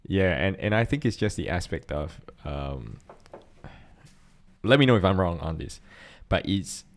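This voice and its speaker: Low-voiced Singaporean man, earnest. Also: lively intonation, unhurried. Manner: earnest, thinks aloud with restarts. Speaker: podcast co-host. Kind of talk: casual chat.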